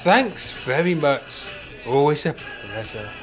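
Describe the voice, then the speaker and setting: A man's voice: a little high, sing-song, takes it slowly, music in the background. A man playing a fussy, pedantic, mild-mannered older man in a comic radio play, wordy and precise. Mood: fussy, wry. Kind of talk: radio play comedy acting.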